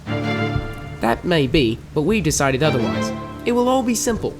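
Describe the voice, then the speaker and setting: A man's voice: fairly high pitch, very animated, a little slow, music in the background. A male actor playing a cautious, practical guard who plots a kidnapping in a radio drama. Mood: coolly threatening.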